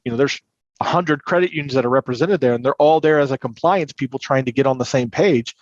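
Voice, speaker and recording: A man's voice: mid-range voice, fast talker. A man talking as an expert interview guest, explaining things earnestly in a conversational tone on muffled, roomy audio. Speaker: podcast guest, credit union compliance and recovery manager.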